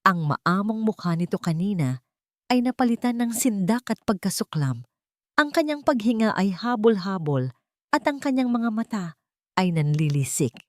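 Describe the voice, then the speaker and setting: A woman's voice: mid-range voice, big pitch swings, normal speed, no echo, studio quality. A woman storyteller reading a scripted, dramatic narrative.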